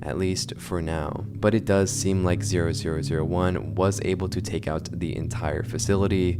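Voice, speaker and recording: A man reading scripted, explanatory narration in the style of an online horror video breakdown. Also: low-pitched. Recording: music under the voice. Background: background music.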